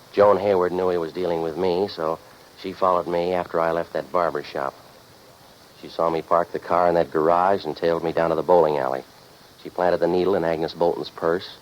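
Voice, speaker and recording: A very low-voiced American private eye, sardonic and deadpan, telling his case like 1940s radio noir. The old recording is dull, with some background noise. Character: hard-boiled, wisecracking male private eye narrating his case. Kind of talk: 1940s radio noir acting, scripted first-person narration.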